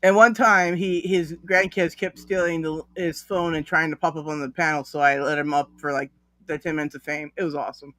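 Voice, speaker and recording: A man's voice: fairly high pitch, fairly even intonation, fairly quick, fairly bright tone, no echo. A man hosting a casual live-stream chat, rambling, laughing often and losing his thread. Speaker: host.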